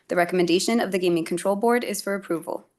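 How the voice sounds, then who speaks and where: A woman's voice: on the low side, fast, darkish tone. A woman as meeting secretary, reading agenda items into the record in a businesslike manner.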